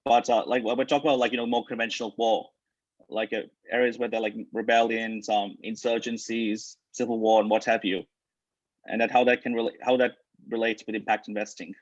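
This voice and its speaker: A man's voice: lowish voice, flat delivery, fairly quick, no echo, highs cut off. A man moderating a panel discussion, speaking in formal, wordy phrases. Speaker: webinar moderator.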